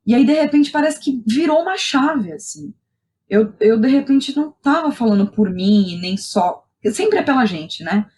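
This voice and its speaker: A young Brazilian woman speaking as a podcast guest, telling personal stories in an animated, colloquial way and often checking in with the listener. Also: high voice, normal speed, slight background noise. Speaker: guest, playwright and theatre director. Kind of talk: casual personal storytelling in a podcast interview.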